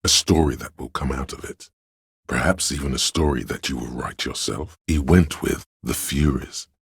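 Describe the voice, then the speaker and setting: A man voicing a detective's pretentious, arty inner voice for a video game, theatrical and deliberate, with a gravelly, husky tone. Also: very deep voice, no echo, clean studio sound, exaggerated voice. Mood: wry, reflective. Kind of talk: video game narration, second-person commentary.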